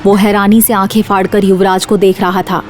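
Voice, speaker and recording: A woman's voice: medium pitch, level tone, brisk, a little mellow, faint music behind. A woman reading scripted suspense narration for an audio drama series.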